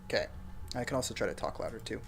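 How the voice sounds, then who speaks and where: A young adult man streaming a game from home, chatting casually and reading viewer comments aloud in a soft, self-deprecating way, speaking into a desk microphone. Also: fairly low pitch, animated, rapid-fire, gravelly, slight background noise. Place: home room. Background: game music.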